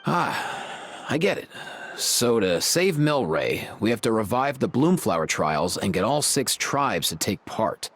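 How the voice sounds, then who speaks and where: A man's voice: on the low side, sing-song, unhurried, a bit bright, exaggerated voice. A man voicing a casual, curious young male character in a video game. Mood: surprised, hesitant.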